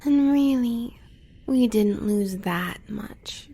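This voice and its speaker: Woman playing a very slow, breathy American huntress. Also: fairly high pitch, warm tone, exaggerated voice. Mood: wistful, bloodthirsty. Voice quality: breathy, often whispering. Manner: intimate, measured. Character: confident, devoted huntress lover, coolly bloodthirsty. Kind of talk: romantic ASMR audio roleplay.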